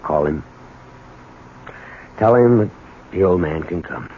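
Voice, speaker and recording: An actor playing a troubled, desperate husband in a 1970s radio drama, halting and emotional. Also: male voice, sits low, animated, narrow, tinny audio. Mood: weary, resigned. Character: troubled, desperate husband and small business owner, bitter toward his father. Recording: thin, noisy old radio broadcast.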